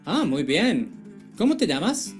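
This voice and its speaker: A woman's voice: fairly low pitch, big pitch swings, unhurried, soft background music. A woman hosting a scripted language-lesson video in a playful, jokey manner. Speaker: video host.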